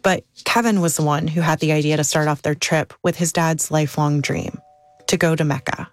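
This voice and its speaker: A woman reporter narrating a documentary news story in a measured, scripted way. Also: deep voice, steady tone, no room sound, faint music behind.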